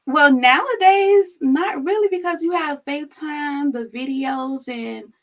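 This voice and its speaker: High, slow, gravelly-voiced woman. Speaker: guest. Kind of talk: casual chat.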